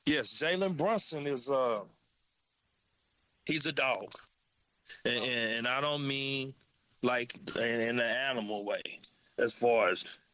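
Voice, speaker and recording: A man with a Southern country accent co-hosting a casual sports talk show, getting animated as he talks. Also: slow, pauses often, dry recording, low-quality recording. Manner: animated, excitable, rises high when hyped. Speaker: podcast co-host.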